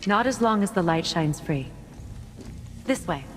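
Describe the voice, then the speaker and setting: A woman playing a wise, solemn keeper of old magic in a video game, speaking in a measured, knowing, gently instructive way. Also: on the low side, lively intonation, soft background music. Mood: guiding.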